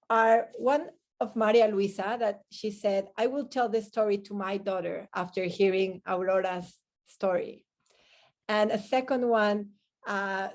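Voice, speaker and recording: Woman moderating an online panel. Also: mid-range voice. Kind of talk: moderating a live question-and-answer session, partly reading out comments. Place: online video call. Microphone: video call microphone.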